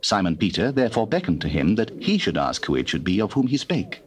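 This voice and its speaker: A male narrator with a fairly low voice tells scripture in a formal, theatrical way. His pitch moves widely through the phrases. It sounds like an old cassette, with some noise. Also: big pitch swings, a little fast. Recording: noisy old cassette recording.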